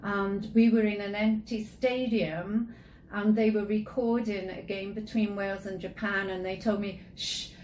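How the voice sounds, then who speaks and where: A woman's voice: mid-range voice, little pitch movement, unhurried, darkish tone, a little hiss or hum. Chatty woman host, roomy sound. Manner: chatty, enthusiastic storytelling. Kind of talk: conversational interview.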